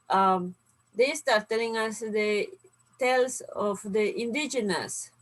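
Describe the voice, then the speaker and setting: A woman's voice: a little high, low-quality recording. A woman who grew up in Serbia and Peru, telling stories animatedly in casual conversation. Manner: storytelling, animated, laughs often. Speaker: co-host, inventor.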